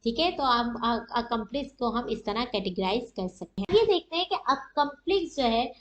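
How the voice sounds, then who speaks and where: Echoey, high-voiced Pakistani woman lecturing. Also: lively intonation, unhurried. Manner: didactic, asks and answers own questions. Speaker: law lecturer. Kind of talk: explanatory lecture with everyday examples. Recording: echoey room, dull muffled audio.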